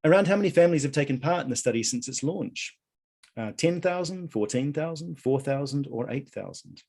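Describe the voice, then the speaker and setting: A man hosting a live online quiz, reading out questions and answer options in a measured way. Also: fairly high pitch, animated, gravelly, a little mellow, slightly rough. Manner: reads options aloud in a measured list. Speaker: quiz host at an online conference.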